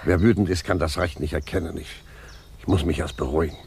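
An actor playing a wry, defiant old retired judge in a radio drama, musing ironically. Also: male voice, extremely low pitch, steady tone, fast talker, gravelly, a little mellow. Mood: indignant, musing. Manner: musing, ironic, chuckling rhetorical questions. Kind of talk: 1950s German radio drama acting. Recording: noisy 1950s radio recording.

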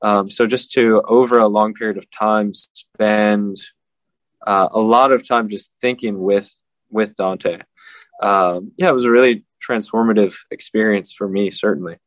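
A man, a translator, answering interview questions in a measured way with frequent pauses and fillers. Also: lowish voice, fairly even intonation, dry recording. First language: English. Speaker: translator guest. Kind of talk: casual interview.

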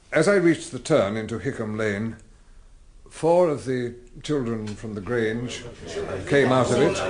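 Actor as hesitant gentleman witness. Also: medium pitch, lightly acted. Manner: hesitant, stammering, measured. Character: careful, reluctant gentleman witness.

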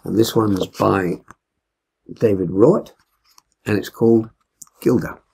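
A man in his seventies with a husky voice, reading a short story aloud expressively. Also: fairly low pitch, slow pace, darkish tone. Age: 70s. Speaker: story reader. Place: indoors in a wood-beamed room at home.

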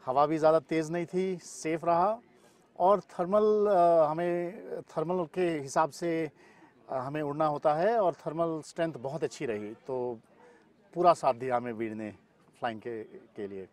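A man's voice: on the high side, unhurried. A middle-aged man answering interview questions outdoors, mixing in English terms. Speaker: paragliding pilot and event weather expert, interview guest. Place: open grassy field in the mountains. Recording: clear outdoor ambient noise.

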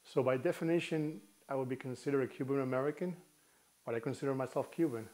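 A man's voice: medium pitch, fast talker, a little mellow. A middle-aged man from Cuba, interviewed about his identity, speaking in a reflective, matter-of-fact way.

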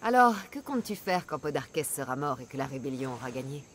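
An actress playing a tough, self-assured female mercenary in a video game dub, speaking in a measured, firm way. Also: a bass voice, sing-song, slight background noise. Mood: determined. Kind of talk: dubbed video game dialogue.

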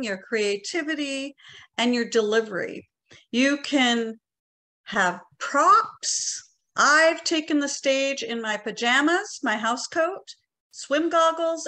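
Middle aged lady teaching on a video call, voice a bit high, into it, emphatic. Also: bright, forceful tone. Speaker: workshop presenter. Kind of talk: explaining in an online club session. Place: living room on a video call.

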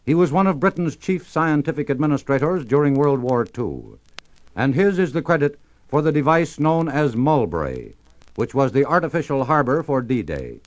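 A man's voice: medium pitch, big pitch swings, normal speed. A man presenting a 1950s radio programme, reading a scripted introduction in a formal announcer's manner.

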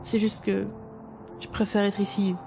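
A woman playing a strong-willed, sardonic book editor in an audio drama, halting and expressive. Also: mid-range voice, creaky. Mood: wry, collected. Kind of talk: French audio drama acting. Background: music.

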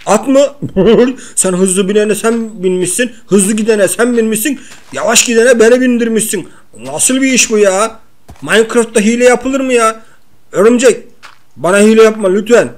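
A man's voice: high-pitched, normal speed, close to an everyday voice. A man playing a clumsy, whining comic everyman with slangy talk in a playful gameplay role-play. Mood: comic grumbling. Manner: slangy, complaining, giggly.

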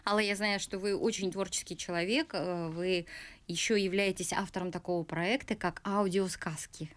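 A woman's voice: mid-range voice, steady tone, normal speed. A middle-aged woman hosting a live studio talk show, speaking in formal, scripted announcer phrasing. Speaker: program host. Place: small radio studio. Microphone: studio boom mic and headphones.

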